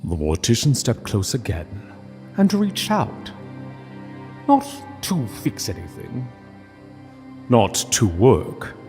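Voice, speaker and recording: Slow, solemn male storyteller. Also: mid-range voice, huge pitch swings, darkish tone, long reverb tail, soft background music. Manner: solemn, measured, dramatic pauses. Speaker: narrator. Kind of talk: scripted literary story narration.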